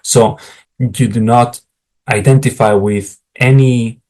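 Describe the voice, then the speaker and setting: A man's voice: mid-range voice, fairly even intonation, slow pace, darkish tone. A middle-aged man from Spain, a native Spanish speaker with a Spanish accent, frankly explaining how to write academic job materials and often turning to the viewers with asides. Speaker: academic career advisor. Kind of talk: explanatory talk to camera.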